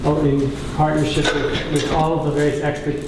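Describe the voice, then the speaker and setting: A middle-aged man with a soft voice, giving thoughtful, halting remarks as a councilor at a public meeting. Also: a little high, flat delivery. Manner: thoughtful, halting, searches for words. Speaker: borough councilor speaking at a caucus meeting. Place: indoor council meeting room. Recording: echoey room, distant mic, clear background noise.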